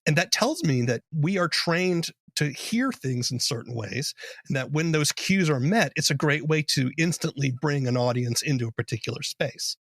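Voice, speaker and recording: Male interviewer: conversational, measured and explanatory, recorded dry with no room. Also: a little high, expressive, fairly bright tone, no echo. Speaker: podcast host, interviewer.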